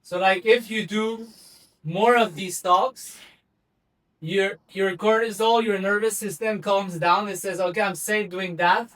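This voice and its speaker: A man running a public speaking group, his voice high and heard from some distance off the mic. He explains points loosely, stacking up fillers as he goes. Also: fairly even intonation, measured pace, fairly bright tone. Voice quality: rambling, many fillers. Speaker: group facilitator. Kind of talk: casual coaching feedback. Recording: voice a bit far from the mic.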